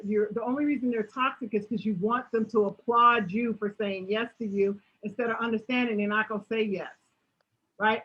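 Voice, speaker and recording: A woman answering audience questions in a motivational talk, emphatic and animated, often tagging her points with "right?". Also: fairly high pitch, highs cut off. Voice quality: strong voice.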